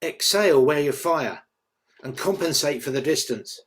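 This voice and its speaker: Cocky young soldier, acted by a man; lively, swooping delivery, slightly high voice, table-read drama. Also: normal speed, natural voice. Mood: cool, confident. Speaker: script reader.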